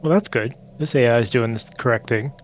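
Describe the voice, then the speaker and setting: A man's voice: mid-range voice, brisk, muffled recording, slight background noise. A man with a stuffed-up nose from allergies, casually thinking aloud while playing a multiplayer strategy game. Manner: thinking aloud, muttering to himself. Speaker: game streamer. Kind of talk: casual multiplayer gameplay commentary.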